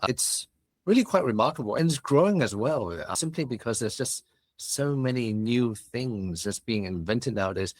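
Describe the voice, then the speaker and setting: A man's voice: mid-range voice, animated, moderate pace. A man in his forties from Hong Kong with a mixed Australian, British and Cantonese accent, chatting expansively as a podcast guest. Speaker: guest, business founder. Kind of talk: casual interview chat.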